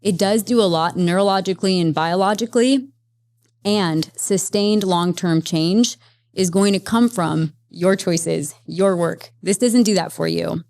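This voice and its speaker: A woman therapist speaking as a podcast guest, explaining at length in a lively, conversational way with many fillers. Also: lowish voice, measured pace, vocal fry, no room sound. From Las Vegas, Nevada, USA. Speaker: guest, psychedelic integration therapist. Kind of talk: conversational interview.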